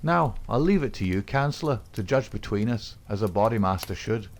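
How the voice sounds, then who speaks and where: Guy doing a cocky young outlaw, calm and defiant, low gravelly voice. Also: brisk, a little hiss or hum.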